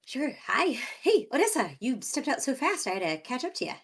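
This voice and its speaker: A woman plays in a tabletop roleplaying game with a fairly high voice. She improvises her character's talk in a casual, conversational manner. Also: very animated, brisk, husky. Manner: informal, conversational.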